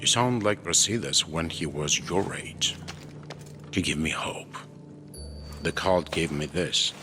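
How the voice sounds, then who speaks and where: A weary older ruler from a video game, cautious and grave. The voice is deep, with solemn, measured phrasing, and music plays clearly underneath. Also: male voice. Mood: guarded, grateful. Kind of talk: video game cutscene acting.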